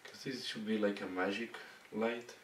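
A man with a hoarse, fading voice giving a step-by-step painting tutorial in halting, broken phrases. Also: fairly low pitch, fairly even intonation. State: hoarse, losing his voice. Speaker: miniature painting instructor. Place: indoor hobby painting desk. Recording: roomy, distant mic with faint noise.

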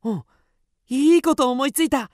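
An actor playing an excitable, mischievous ten-year-old schoolboy, a bossy big brother, in a children's radio drama. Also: male voice, very high voice, moderate pace, no room sound, clean studio sound. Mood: eager, bossy.

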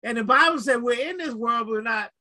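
A man in a faith discussion who talks with lively energy and keeps affirming the other speaker with quick encouragements. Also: extremely high pitch.

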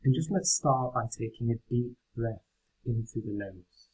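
A man guides a meditation with gentle, unhurried instruction. His voice is mellow and relaxed, with a husky, breathy edge and an average male pitch. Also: poor audio.

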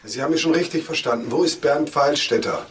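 A man's voice: on the high side, fast, slight background noise, natural voice. An actor playing a shrewd, commanding male detective chief in a German crime radio drama, probing and dryly sardonic. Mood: insistent.

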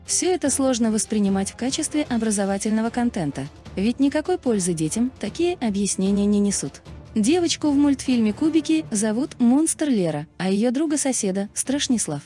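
Female narrator, scripted explainer read; soft-edged tone, very varied intonation, music bed. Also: fairly high pitch, lively intonation, moderate pace. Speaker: video narrator. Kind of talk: scripted documentary-style narration. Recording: music behind the voice. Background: background music.

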